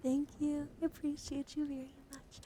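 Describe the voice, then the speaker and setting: Asmr girl streamer, woman talking soft n gentle w lots of whispering, noticeable noise behind her. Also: high voice, little pitch movement, moderate pace. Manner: soft-spoken, often dropping into whispers; gentle, soothing, encouraging. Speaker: livestream host showing trading cards. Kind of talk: ASMR, soft casual chat.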